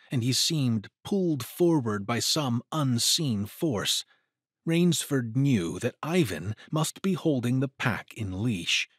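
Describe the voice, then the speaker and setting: A man narrating an adventure story in a dramatic, deliberate, suspenseful way. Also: mid-range voice, slightly rough, no echo, clean studio sound.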